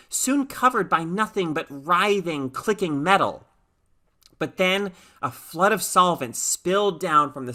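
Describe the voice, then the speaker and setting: A woman reading a short story aloud as an expressive, dramatic narrator. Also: low voice. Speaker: story reader.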